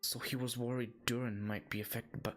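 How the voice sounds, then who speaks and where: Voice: mid-range voice, level tone, brisk. A game streamer giving casual, hesitant, informal commentary while playing a story game.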